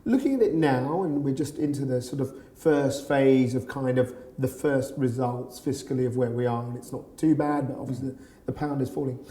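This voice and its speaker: A man's voice: medium pitch, moderate pace, mellow, echoey room. A man interviewing a guest in a chatty, jokey way. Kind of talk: interview questions.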